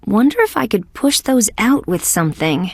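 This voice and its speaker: A woman's voice: medium pitch, very animated, moderate pace, a little mellow, slightly rough, dry recording. A wisecracking insect police detective from a video game. She speaks in a middle register with a hard-boiled, sardonic edge. The sound is very dry, with no room around the voice. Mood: matter-of-fact. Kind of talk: scripted game dialogue, detective banter.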